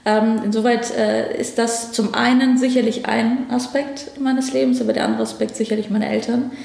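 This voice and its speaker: A woman's voice: on the high side, steady tone, normal speed, vocal fry, darkish tone, echoey room. A woman from Afghanistan, a ministry division head, answering interview questions thoughtfully with frequent hesitations. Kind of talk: conversational interview. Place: office at a federal ministry.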